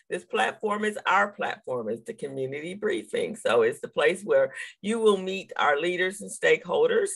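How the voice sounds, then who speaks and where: A woman co-hosting a live community briefing over a video call, with a hoarse, gravelly voice from overwork and an animated manner that breaks into laughter between remarks. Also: low voice. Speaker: co-host.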